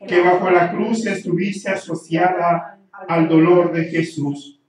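A middle-aged man, a priest, slowly reciting the prayers of the Mass at the altar of a small church. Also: sits high, steady tone. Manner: slow, measured recitation. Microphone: handheld mic at times, heard from some distance. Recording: very echoey church, far from mic.

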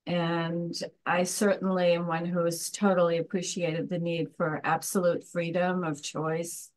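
A woman's voice: on the low side, level tone, unhurried. An older woman reflecting aloud in a discussion on a video call, searching for her words. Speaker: discussion participant. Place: indoor room at home, on a video call. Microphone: video call, computer microphone.

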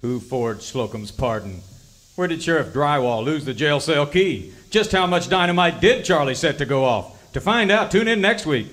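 An actor playing a grandiose announcer of an old-time radio serial, narrating in mock-dramatic cliffhanger style. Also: male voice, on the high side, animated, moderate pace, a bit bright, slight background noise.

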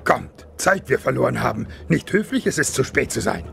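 An actor voicing a tiny, ancient, wise alien Jedi master, croaky and gravelly, musing in inverted word order. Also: male voice, medium pitch, big pitch swings, moderate pace, slight background noise. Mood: gently teasing.

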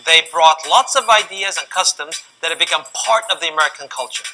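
Korean-born male host, middle-aged, animated scripted delivery with hand gestures, music bed underneath. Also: on the high side, brisk. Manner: lively, emphatic delivery with sweeping hand gestures. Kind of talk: scripted presenting to camera. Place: large indoor museum gallery.

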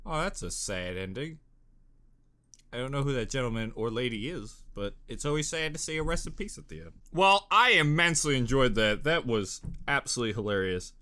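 Animated young man with a bright voice. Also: mid-range voice, a little fast. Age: young adult. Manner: animated, joking. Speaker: reaction video host. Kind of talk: casual reaction commentary. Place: small cluttered bedroom. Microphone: desktop condenser microphone.

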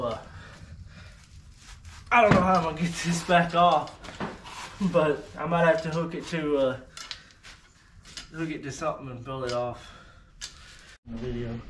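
A man's voice: on the high side, noisy background. A man casually filming an unboxing and test in his workshop, talking in a rambling, offhand way with lots of fillers. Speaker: video host. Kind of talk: casual unboxing and testing vlog. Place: workshop, by a truck.

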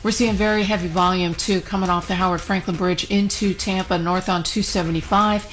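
A woman's voice: on the low side, fairly even intonation, fairly quick. An American woman giving a radio traffic report as the traffic reporter. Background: music bed.

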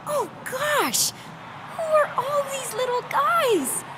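A woman playing an earnest, excitable young alien girl in a game, youthful and expressive. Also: on the high side, measured pace. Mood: excited. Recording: music behind the voice.

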